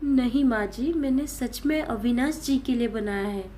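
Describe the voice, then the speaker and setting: A woman's voice: fairly high pitch, unhurried, mellow, voice pushed up, lightly acted. A meek young daughter-in-law, played by a woman storyteller, dutiful and easily hurt. She speaks respectfully and pleadingly, as in a family story, over some background noise. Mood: apologetic, pleading. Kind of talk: dramatised storytelling.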